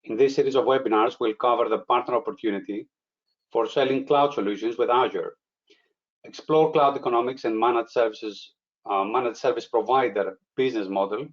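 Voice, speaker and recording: A man's voice: mid-range voice, muffled recording. A man hosting a business webinar, speaking hesitantly with many "uh" fillers. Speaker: webinar host. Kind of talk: webinar presentation.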